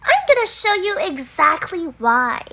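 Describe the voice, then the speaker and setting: A woman's voice: extremely high pitch, huge pitch swings, unhurried, pushed and bright, soft background music, heavily acted. A woman voicing a touchy, bossy teenage schoolgirl manga fan in a visual novel dub, defensive and huffy.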